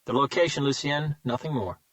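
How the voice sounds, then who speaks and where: A man's voice: mid-range voice, a little fast, fairly bright tone, no room sound, far from the mic, pitched above the performer's own voice, close to an everyday voice. Terse male detective demanding answers, read by the narrator. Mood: insistent. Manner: terse and insistent. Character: firm, no-nonsense male detective.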